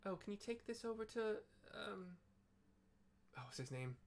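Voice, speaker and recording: A man's voice: sits high, animated, unhurried, voice pushed up. A man mockingly voicing a whiny, lazy game character who wheedles someone into running errands. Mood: mock pleading. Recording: clear background noise.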